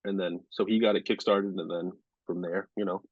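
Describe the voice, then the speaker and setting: Casual guest, twenties, flat monotone, deep-ish voice, dry close mic. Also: male voice, a little fast, breathy edge, dry recording, lo-fi sound. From Rhode Island. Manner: monotone delivery, filler-heavy. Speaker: sports reporter and anchor, podcast guest. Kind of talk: casual interview chat.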